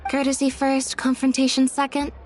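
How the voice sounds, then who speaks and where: A woman's voice: high voice, monotone, normal speed, over loud music, over a noisy crowd, lightly acted. An actress playing a composed, confident female fashion designer in a video game, who speaks in a dry, self-assured way. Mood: cool.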